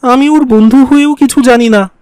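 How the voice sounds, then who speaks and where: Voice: sits high, normal speed, a little mellow, smooth, no echo, lightly acted. A performer playing a sulky, mischievous, impatient little boy in a cartoon. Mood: petulant. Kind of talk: cartoon dialogue.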